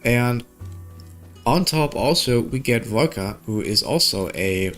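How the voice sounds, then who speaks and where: A man's voice: mid-range voice, lively intonation, slow pace, fairly bright tone. Casual man chatting, faint music behind. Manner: informal, slangy, thinking aloud. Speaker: game streamer. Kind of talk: casual gaming stream chat.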